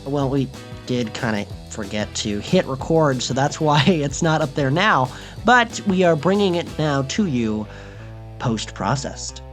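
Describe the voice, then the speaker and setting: Animated American man, podcast host. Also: medium pitch, measured pace, soft background music. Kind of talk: casual chat.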